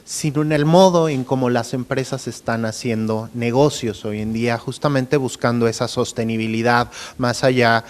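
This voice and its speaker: A man with a Mexican accent speaking as an academic panelist, explaining in a measured way with frequent tag questions. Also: mid-range voice, fairly even intonation.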